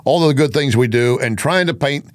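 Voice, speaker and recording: A man's voice: mid-range voice, brisk, no room sound. Guy doing political commentary like a show host, chatty, asks rhetorical questions, super dry studio sound. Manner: conversational, rhetorical questions and mimicked quotes. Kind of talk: opinion news commentary.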